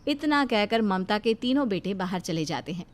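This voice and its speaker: A woman tells a cartoon moral story in a steady, dramatic storytelling voice. Her pitch is mid-range. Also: normal speed. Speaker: narrator.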